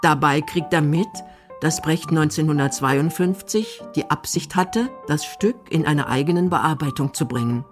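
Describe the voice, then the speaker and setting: Low-voiced woman narrating a radio documentary. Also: moderate pace, music in the background.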